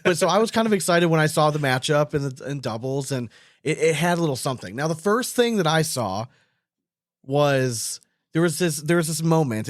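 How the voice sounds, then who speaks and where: Man, fairly high voice, podcast host, informal banter, halting questions, close dry sound. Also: no room sound. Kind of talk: casual interview banter.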